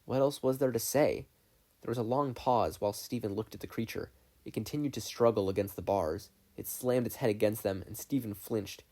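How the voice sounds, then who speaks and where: A man with a low, dark-toned voice narrates a piece of fiction. His delivery is that of an audiobook reader, with a relaxed, mellow sound. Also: vocal fry. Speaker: podfic reader. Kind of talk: scripted story narration.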